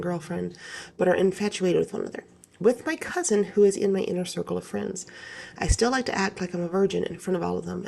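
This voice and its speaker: Lady reading online stories, kinda hoarse n gravelly, chatty off the cuff comments. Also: fairly low pitch, darkish tone. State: slightly hoarse, getting over illness.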